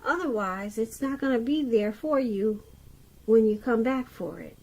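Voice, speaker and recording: A woman giving an unscripted tarot reading to the viewer, halting as she goes, pausing mid-sentence and repeating phrases. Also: on the high side, a little mellow. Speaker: tarot reader.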